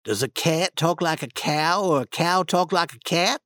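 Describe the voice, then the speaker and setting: A man's voice: fairly high pitch, a little slow, fairly bright tone, no echo. A man reading an audiobook, voicing a young boy who patiently reasons an argument step by step. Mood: reasoning.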